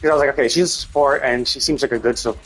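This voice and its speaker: A man with a husky voice giving casual, rambling gaming commentary as a live streamer, swearing freely. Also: mid-range voice, steady tone, rapid-fire, fairly bright tone, rough recording.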